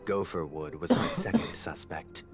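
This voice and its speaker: Deep-voiced, composed young leader. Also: male voice, wildly swooping pitch, music in the background, full character voice. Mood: grave. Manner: formal, measured. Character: composed, polite, grave young family leader. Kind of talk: video game story dialogue.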